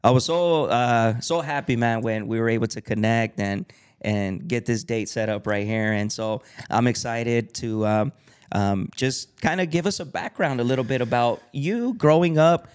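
A man's voice: mid-range voice, moderate pace, clean tone. A man with a mid-range voice hosting a casual podcast. He talks eagerly and leans on frequent ums and uhs as he introduces his guest. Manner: enthusiastic, many fillers, reads the guest's bio aloud. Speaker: podcast host.

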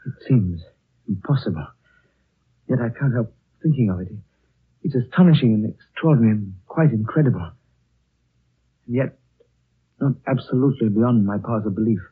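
An actor playing an earnest, awestruck man in a 1930s radio drama, speaking haltingly and breathily. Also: mid-range voice, expressive, husky. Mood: awed. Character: earnest, awestruck man hearing an incredible revelation. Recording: tinny, muffled old 1930s radio recording.

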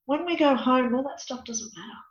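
A raspy-voiced woman in her fifties with a fairly high pitch. She speaks as an interview guest, emphatic and preachy. The audio sounds dull and lo-fi, with a noticeable echo. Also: a little fast. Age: 50s. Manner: emphatic, preachy, repeats "you know". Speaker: interview guest, life coach. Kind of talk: earnest personal conversation.

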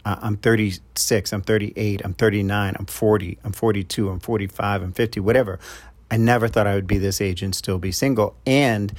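A middle-aged man with a New York accent, speaking as a love coach to his podcast audience. His voice sits on the low side, and he talks in a loose, conversational way with frequent fillers and restarts. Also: steady tone, fairly quick. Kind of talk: advice monologue to a podcast audience.

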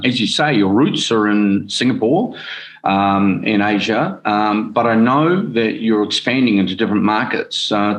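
A man hosting a business interview, asking friendly questions in a halting way with many restarts. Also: on the low side, rough recording. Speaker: interviewer, media host.

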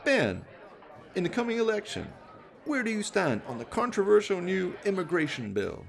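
A man voicing a gushing, excitable male television news reporter in a radio play, full of exclaiming showmanship. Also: high voice, normal speed, creaky, some chatter behind, pitched above the performer's own voice, heavily acted. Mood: eager, probing.

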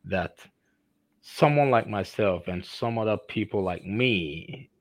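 A man's voice: lowish voice, no room sound. A man from Nigeria with a Nigerian accent, hosting an interview in an effusive manner with many fillers. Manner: effusive, halting with frequent fillers. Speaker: podcast host. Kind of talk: conversational interview.

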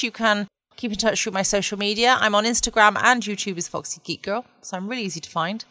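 A woman's voice: fairly low pitch, fairly bright tone, dry recording. A woman chatting casually and ramblingly as the host of a late-night podcast.

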